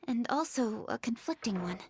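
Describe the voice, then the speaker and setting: A woman voicing a studious, formal young noblewoman in a video game, speaking precisely and in measured phrases. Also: on the high side, lively intonation, soft background music. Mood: measured reading. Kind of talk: animated video game dialogue.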